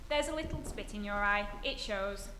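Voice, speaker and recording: An actress playing a practical, wisecracking magazine photographer with wry quips in a stage re-enactment of an old radio comedy. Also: female voice, mid-range voice, moderate pace, close to an everyday voice. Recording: echoey hall, faint noise.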